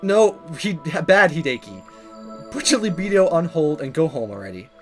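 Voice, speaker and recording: Casting: male player as animated high-pitched schoolboy, cheeky and awkward, visual novel, music underneath. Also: normal speed. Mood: flustered. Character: mischievous, awkward teenage schoolboy, cocky prankster.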